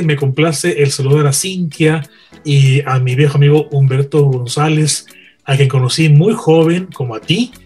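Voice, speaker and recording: A man in his fifties greets the others formally over a remote line. His voice sits a little high. Light music plays beneath him. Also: a little mellow. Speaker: radio broadcaster and columnist.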